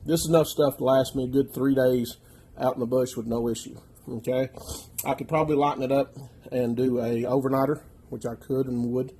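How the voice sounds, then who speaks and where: A man's voice: mid-range voice, steady tone, a little fast, warm tone, a little hiss or hum. A man from the United States, nasal as he gets over a chest infection, walking casually through his gear in a plainspoken, folksy way and often checking in with "okay?". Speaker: outdoors channel host. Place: living room, indoors.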